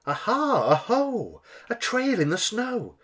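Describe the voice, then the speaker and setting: Man as young girl monster, high voice, curious, bold, exclaiming, animated, storybook character. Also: slow, full character voice. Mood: excited curious. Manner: exclaiming, inquisitive. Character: Gruffalo's child, small, curious, bold young female monster.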